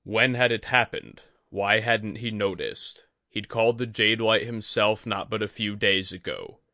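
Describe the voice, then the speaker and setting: A man reading a scripted fantasy story as an audiobook narrator, with dramatic, measured phrasing. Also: lowish voice, sharp tone, dry recording, clean studio sound. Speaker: audiobook reader.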